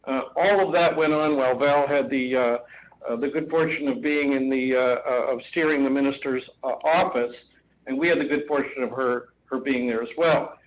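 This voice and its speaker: A man's voice: mid-range voice, fairly even intonation, moderate pace. A man moderating a live panel discussion, introducing a speaker with many fillers and restarts. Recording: dull muffled live-stream audio with faint noise.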